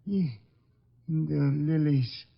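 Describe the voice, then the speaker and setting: A gravelly, halting dying man. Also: a little high, exaggerated voice. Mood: weak, gasping. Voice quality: gravelly, husky. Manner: halting, broken phrases. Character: dying shot man, gasping out clues. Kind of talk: 1950s radio detective drama acting. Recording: dull, lo-fi vintage radio recording.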